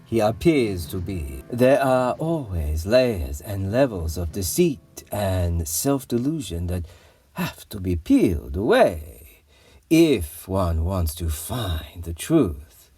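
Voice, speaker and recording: Male actor as cold, proud warlord for an audio drama, grandiose and deliberate, with highly dynamic delivery over music. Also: very animated, mellow, breathy edge, no room sound, exaggerated voice. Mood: cold, menacing. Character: proud, genetically superior warlord captor, cold and imperious. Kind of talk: dramatic audio comic acting.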